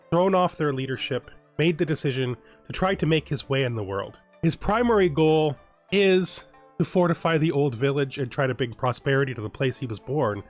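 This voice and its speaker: Male let's play host, casual commentary, self-correcting, slightly high voice, faint background music. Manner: thinking aloud, often restarting and correcting himself.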